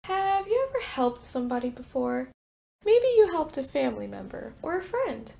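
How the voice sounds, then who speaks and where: Animated, high-voiced woman reading to preschoolers. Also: normal speed, creaky. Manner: animated, expressive, addresses young children. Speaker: preschool teacher reading a picture book. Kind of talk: children's storytime read-aloud with direct address.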